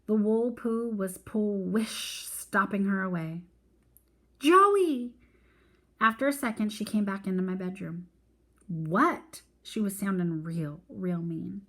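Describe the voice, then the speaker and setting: A woman with a mid-pitched voice narrates a story as a read-aloud. Her reading is expressive and follows the text closely. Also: moderate pace, few pauses. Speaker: reader of a weekly read-aloud.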